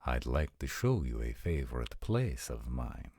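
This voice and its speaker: A man playing a haughty, commanding vampire in a roleplay audio drama, speaking in a formal, measured and imperious way. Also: a bass voice, sing-song, darkish tone, breathy edge, no echo, studio quality, heavily acted. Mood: smooth, wry.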